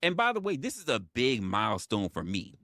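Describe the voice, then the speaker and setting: A man's voice: medium pitch, wildly swooping pitch, moderate pace, pushed and bright, no room sound. A man in his forties hosting a casual livestream monologue, emphatic and profane, often repeating his phrases for effect. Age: 40s. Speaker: livestream host.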